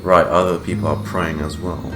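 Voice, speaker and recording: A man's voice: low-pitched, level tone, moderate pace. A man giving casual reaction commentary as he plays a video game. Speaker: gaming reaction streamer. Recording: roomy voice over loud game music. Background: loud game music.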